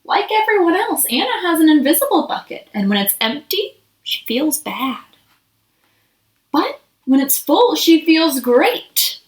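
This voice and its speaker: Casting: female children's storybook reader, expressive and unhurried, very lively intonation, recorded in an echoey room. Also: sits high. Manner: expressive, acts out dialogue and asks young listeners questions. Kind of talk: children's picture book read-aloud.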